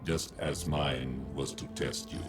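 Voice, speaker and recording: A man playing an imposing, confident war machine in a video game, with a terse, deliberate delivery. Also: a bass voice, steady tone, over music. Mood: solemn. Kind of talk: scripted video game acting.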